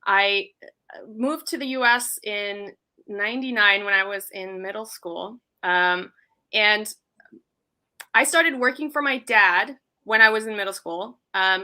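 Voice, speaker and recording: Bright-voiced woman from Iceland. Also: medium pitch. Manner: chatty, many fillers like um and you know. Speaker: guest. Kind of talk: casual interview talk.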